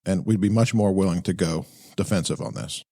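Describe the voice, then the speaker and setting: A man giving conversational commentary as a podcast host, explaining things in a halting, stop-and-start way. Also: deep voice, gravelly, dark tone. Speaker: podcast host breaking down fire radio traffic.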